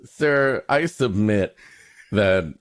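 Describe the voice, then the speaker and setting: A man's voice: lowish voice, expressive, drawn-out words, no echo, clean studio sound. A man hosting a comedy podcast, mixing casual joking banter with mock-florid, dramatic reading of a story.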